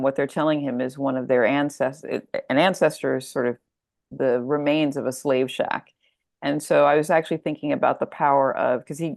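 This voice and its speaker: Female author guest, low register, very dry sound, reflective and halting conversational delivery. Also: creaky, a little mellow, no room sound. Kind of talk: casual, reflective interview talk.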